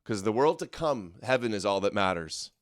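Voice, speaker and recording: A man's voice: lowish voice, lively intonation, normal speed, no room sound, studio quality. A man hosting an online show, giving casual, mocking running commentary on clips in a sarcastic, jeering way.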